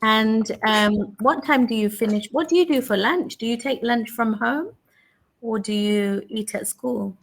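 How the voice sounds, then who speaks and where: Lady in her 40s tutoring over a video call, highish voice, patient and encouraging. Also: a little slow, vocal fry. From England. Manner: patient, encouraging, repeats the learner's answers back. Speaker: online English tutor. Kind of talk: casual conversation lesson.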